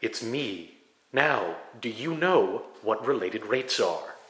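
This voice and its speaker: A man narrating a parody educational film in a mock-serious, grandiose and deadpan way. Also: lowish voice, slow pace, slightly rough, rough recording. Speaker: narrator and host.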